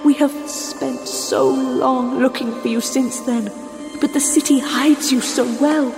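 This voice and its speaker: An actress playing a murdered wife returned from the dead, reproachful and mournful, speaking slowly with tender menace in a horror audio drama. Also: female voice, high voice. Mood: mournful accusation. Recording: long hall reverb under music.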